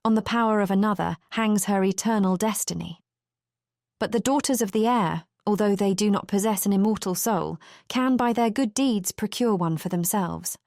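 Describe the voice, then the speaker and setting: A woman plays a solemn female air spirit from a storybook, gentle and earnest, with a British accent. The voice is medium in pitch and closely recorded with no room sound. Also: moderate pace, creaky, dry recording, clean studio sound, natural voice. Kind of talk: dramatised fairy tale audiobook.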